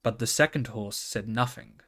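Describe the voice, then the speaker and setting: A man's voice: mid-range voice, moderate pace, a bit bright. A man narrating a children's story from a script as a storybook reader.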